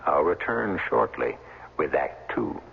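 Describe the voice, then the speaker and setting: A man hosting and narrating a 1970s radio mystery with portentous, dramatic delivery and deliberate pauses, on a muffled old recording. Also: very deep voice, big pitch swings, creaky, over loud music. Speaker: host and narrator.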